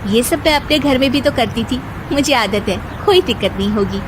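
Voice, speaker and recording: Woman playing a polite flustered new bride, kinda high voice, cartoon story. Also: expressive, fairly quick, noisy background, natural voice. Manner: polite, flustered, talks to herself. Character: young newlywed bride, dutiful and bewildered.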